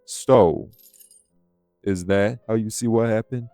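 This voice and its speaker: Man playing a low, growly vampire. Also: slow, dark tone, dry recording, faint music behind. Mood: dry, blunt. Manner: blunt, drawling, taunting, colloquial. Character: rough, defiant old vampire, devil of New Orleans. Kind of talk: dramatic audio roleplay acting.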